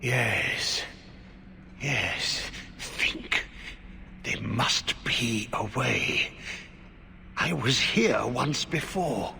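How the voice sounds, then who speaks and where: An actor playing an unhinged, half-corrupted man in a fantasy game, fearful and pleading, with a halting, muttering, gravelly delivery. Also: medium pitch, lively intonation, exaggerated voice. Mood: muttering, distracted. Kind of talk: dramatic fantasy game acting. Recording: long cavernous reverb, background noise.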